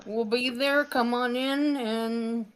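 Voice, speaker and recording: A woman giving a casual shout-out as a guest on a gaming chat. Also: fairly high pitch, fairly even intonation, slow pace, clear, resonant tone.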